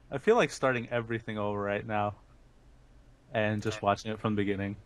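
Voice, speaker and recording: A man's voice: on the low side, moderate pace. A man hosting a podcast, talking in a chatty, informal way like a fan among friends. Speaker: podcast host. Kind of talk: casual podcast chat.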